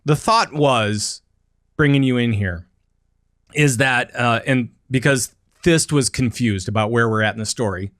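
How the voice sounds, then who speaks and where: A man hosting a podcast, chatting casually in a halting way with many fillers. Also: medium pitch, fairly bright tone, no echo, clean studio sound. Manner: halting, lots of fillers and laughter. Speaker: podcast host.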